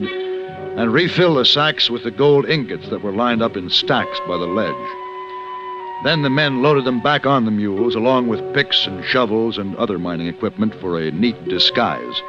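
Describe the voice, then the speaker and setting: An actor playing a refined, steady gunfighter for hire in a 1950s radio western, speaking and narrating in a measured, composed way. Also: male voice, on the low side, lightly acted. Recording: dull vintage radio recording with music.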